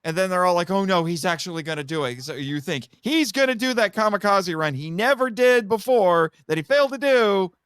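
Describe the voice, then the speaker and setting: A man giving an animated, casual plot recap as a podcast guest, telling it dramatically and acting out the characters' lines. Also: high voice, brisk, few pauses, clear, resonant tone, no echo, studio quality. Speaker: podcast guest reviewing a film.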